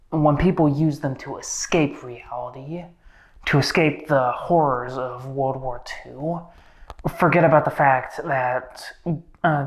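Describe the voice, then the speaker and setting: A man playing a menacing, philosophizing horror villain in a monologue, halting and brooding, drifting between speech and breathy whispers. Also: medium pitch, a bit bright.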